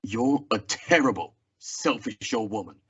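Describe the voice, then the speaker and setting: A man's voice: fairly low pitch, lively intonation, a little slow, fairly bright tone, breathy edge, exaggerated voice. A young man acting a weary, plainspoken Old West marshal in a radio Western drama. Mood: grieved, bitter. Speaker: actor in a school radio theatre production. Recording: dull lo-fi radio-play recording.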